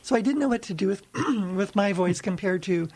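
A woman with a lower-pitched voice chats easily in an interview. She explains things in a relaxed way and tells anecdotes, often laughing between them. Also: normal speed, slightly rough. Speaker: ukulele festival organizer. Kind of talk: casual interview chat.

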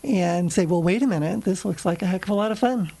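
An American woman with a low voice, talking casually and telling little anecdotes as an interview guest who organizes a club. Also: quick pace, warm tone. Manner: chatty, anecdotal.

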